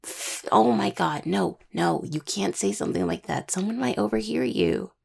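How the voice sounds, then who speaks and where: A young woman playing a caring, teasing necromancer healer in an intimate audio roleplay, with a husky voice and conversational delivery. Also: mid-range voice, expressive, normal speed, exaggerated voice. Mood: playful teasing. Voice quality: husky, gravelly. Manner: hesitant, teasing, full of pet names.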